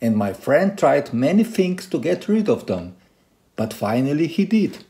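Animated man vlogger, lively pitch swings. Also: unhurried. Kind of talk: presenting to camera and narrating a car tech video.

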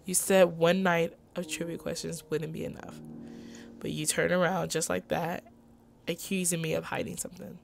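Female co-host for game read-along, rather low pitch, creaky edge, emotive, faint music behind. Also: flat delivery, moderate pace. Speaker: gaming video co-host. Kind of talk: acting out visual novel dialogue, casual game chat.

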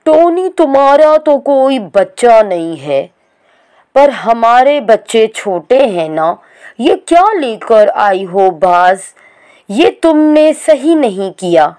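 A woman voicing a sharp-tongued, scolding female sparrow who accuses loudly. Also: on the high side, big pitch swings, slow.

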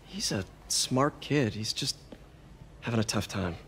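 A man's voice: lowish voice, expressive, unhurried, a bit bright, slight background noise. An actor playing an earnest, slightly awkward young man, hesitant and sincere, in a naturalistic video game drama. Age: young adult. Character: earnest, awkward young lab assistant and nephew.

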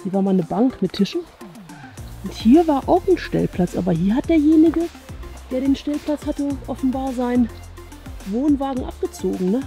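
A woman's voice: sits high, lively intonation, moderate pace, dark tone, faint music behind. A woman in her thirties with a German accent, vlogging as she walks through an abandoned campsite outdoors, musing aloud and often asking viewers to agree. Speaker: urban exploration vlogger. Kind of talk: casual walking commentary to viewers. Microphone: action camera worn on chest.

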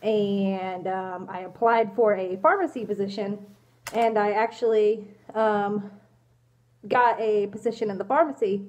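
A woman's voice: on the high side, a little mellow. A young woman with a congested cold, answering viewer questions in a casual vlog, hesitant and apologetic with many ums, filmed on a phone held at arm's length in a small room. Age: young adult. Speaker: fursuit vlogger answering questions. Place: small indoor room, in costume. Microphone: phone camera at arm's length.

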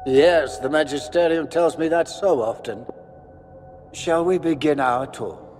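An actor playing a haughty, falsely courteous middle-aged magister in a video game, smooth and condescending. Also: male voice, a little high, a little slow, a little mellow, lightly acted. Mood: smugly courteous. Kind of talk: video game cutscene acting. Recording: long hall reverb, music underneath.

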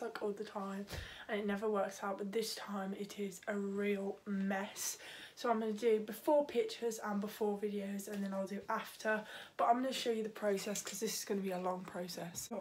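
A teenage girl chatting casually in a home vlog from her bedroom, rambling with plenty of fillers. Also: medium pitch. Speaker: vlogger. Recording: noisy home video.

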